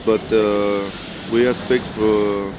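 A low-voiced Romanian man speaks halting English with a Romanian accent, full of pauses and fillers. He is recorded outdoors by a city street, with clear traffic noise behind him. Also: flat delivery. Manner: hesitant, many pauses and fillers. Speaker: construction worker, interviewee. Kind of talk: halting answers in non-native English.

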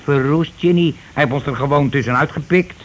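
An actor playing a bossy, indignant, petty working-class husband in a Dutch radio play, with a blustering, colloquial way of talking. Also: male voice, a little high, fairly quick, natural voice. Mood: aggrieved. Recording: noisy old radio play recording.

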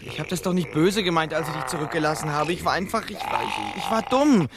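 An actor playing a naive, heroic young eagle in a radio play, earnest and easily flustered. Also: male voice, on the high side, fast, a crowd in the background, lightly acted. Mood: apologetic, flustered. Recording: music under the voice.

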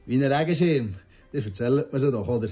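An actor playing a polite, curious wanderer in a Swiss German radio drama, courteous and sprinkling in French phrases. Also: male voice, medium pitch, a little fast, background noise, lightly acted. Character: polite, curious male wanderer seeking a guide.

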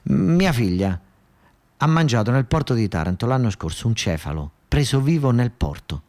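A plaintive mother bird, voiced by a male storyteller, airs a grievance in a matter-of-fact way. The radio-theatre delivery has a wide, lively pitch. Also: moderate pace, natural voice. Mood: aggrieved. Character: aggrieved talking bird, a mother mourning her daughter's infertility.

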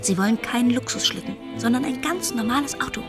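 An actress in a German radio play as a cool woman go-between, briskly passing on a gang's instructions. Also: fairly high pitch, over loud music, natural voice. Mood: cool, businesslike.

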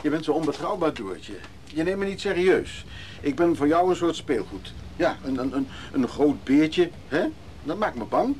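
An actor playing a gruff, guarded man who lives on a leaky boat, speaking colloquially in a naturalistic radio drama. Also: a little high, animated, moderate pace, slight background noise, lightly acted. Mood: guarded, wry.

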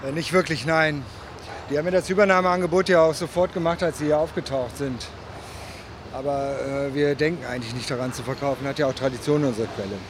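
Male radio play role: actor plays plain-spoken family business owner, higher voice, clear machinery noise around him. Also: fast, natural voice. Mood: hesitant. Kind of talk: naturalistic radio drama acting. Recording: loud-ish plant machinery noise behind.

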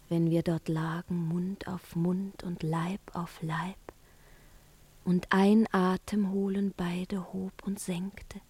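A woman playing a seductive witch and spurned lover in a theatrical verse radio play, breathy and often whispering. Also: on the low side, warm tone. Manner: slow, incantatory, yearning delivery. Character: seductive witch, the emperor's jilted lover, pleading and taunting.

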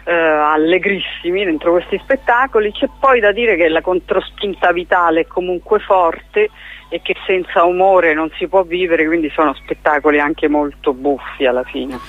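A woman's voice: on the low side, moderate pace, no room sound. A woman, speaking as a theatre-maker being interviewed on radio in a wry, self-ironic, conversational way, on a slightly dull recording. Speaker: playwright and theatre director, interview guest.

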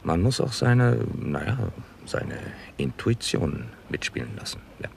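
An actor playing an unflappable, intuitive police detective in a 1960s German crime radio play, gravelly, dry and deliberate. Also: male voice, fairly low pitch, big pitch swings, a little hiss or hum, full character voice. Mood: calm, confiding. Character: Mr. Nutting, unflappable, intuitive Scotland Yard detective.

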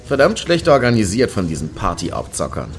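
A brash middle-aged man in a satirical radio play. His voice is very deep and gravelly, swinging widely in pitch as he grumbles and speechifies in sarcastic slang. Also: huge pitch swings, brisk, slight background noise. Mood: grumbling, lewd. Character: brash, randy, opinionated man queuing outside a Berlin club.

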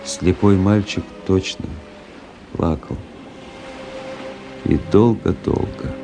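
A low-pitched male army officer tells his story in a radio play, measured and reflective. He is wry and melancholy, a literary narrator with music playing behind him. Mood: wistful, reflective.

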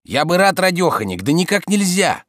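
A man's voice: sits high, big pitch swings, normal speed, fairly bright tone, no echo, studio quality, pitched above the performer's own voice. A man voicing a grumbling, exasperated yet dutiful manservant in a literary story, nagging in a folksy, colloquial way. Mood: pleading.

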